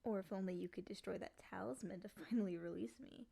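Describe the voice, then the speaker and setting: A woman voicing a lonely, clingy female demon in a soft, often whispery voice, coaxing and pleading in an intimate ASMR roleplay. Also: medium pitch, fast, vocal fry, slightly rough. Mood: longing.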